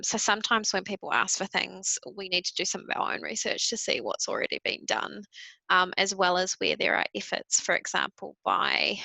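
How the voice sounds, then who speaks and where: New Zealand woman, panelist. Heavy vocal fry, bright, dry close sound, thoughtful and conversational. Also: medium pitch, no echo, rough recording. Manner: thoughtful, frequent ums, light laughs.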